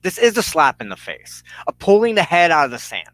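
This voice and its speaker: Bright, animated man preaching from a script. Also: fairly high pitch, rough recording. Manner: emphatic, oratorical, with deliberate dramatic pauses. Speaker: minister hosting a show. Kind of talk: impassioned scripted monologue, sermon-like.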